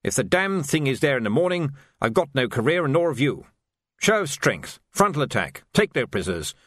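A man's voice: mid-range voice, sing-song, a little fast, a bit bright, no echo, clean studio sound. A man voicing a tired, resigned male army major who sighs through his words. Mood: weary. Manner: tired, sighing.

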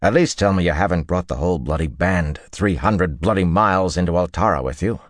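A man's voice: sits low, fairly quick, no echo, clean studio sound, lightly acted. A man voicing a hot-tempered young rogue army commander in an audiobook, blunt and exasperated, cursing often. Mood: exasperated, angry.

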